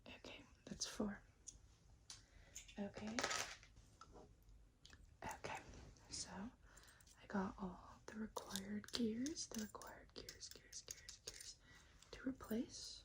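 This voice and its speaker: Whispering ASMR woman, breathy and hushed. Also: medium pitch, takes it slowly, long pauses. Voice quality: breathy, mostly unvoiced whisper. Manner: softly repeats words and short phrases, soothing step-by-step explaining. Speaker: ASMR creator playing a robot repairer.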